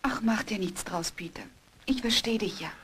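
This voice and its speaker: An actress playing a gentle, forbearing young wife with a breathy voice in a German horror audio drama. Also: female voice, mid-range voice, normal speed, a little hiss or hum, natural voice. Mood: tender, consoling. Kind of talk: German horror radio play acting.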